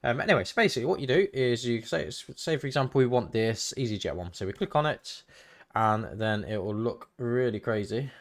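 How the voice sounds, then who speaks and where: A man giving a casual, hesitant software walkthrough, thinking aloud as he goes. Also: mid-range voice, animated, a little fast. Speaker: tutorial host. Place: indoors, voice-over for screen recording.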